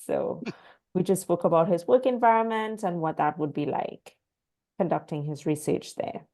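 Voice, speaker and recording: A woman with a lowish voice reports to her class over a video call. She speaks in a friendly way, with a few fillers as she goes. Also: moderate pace, mellow. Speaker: graduate student.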